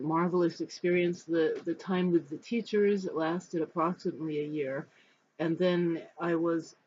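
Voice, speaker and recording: An American in their fifties from Los Angeles, giving a personal talk to camera in a reflective, halting, rambling manner. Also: medium pitch, a little mellow. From Los Angeles, United States. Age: 50s. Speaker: spiritual storyteller. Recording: dull, muffled sound with background noise.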